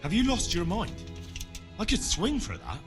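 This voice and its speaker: A man's voice: high-pitched, wildly swooping pitch, normal speed. A man playing an earnest, plucky young refugee in a medieval video game, plain-spoken and direct. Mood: questioning. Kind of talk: dramatic video game dialogue. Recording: music behind the voice.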